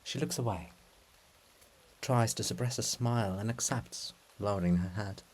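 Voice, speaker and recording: A man narrating stage directions for a dramatic play reading in a measured, theatrical manner. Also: lowish voice, rough recording.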